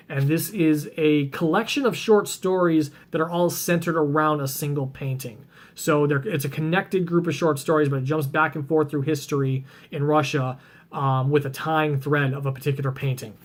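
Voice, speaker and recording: Man with a voice going rough. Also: a little high. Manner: rambling, many ums and restarts. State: voice getting rough and scratchy. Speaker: book vlogger. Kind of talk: casual chat about reading plans.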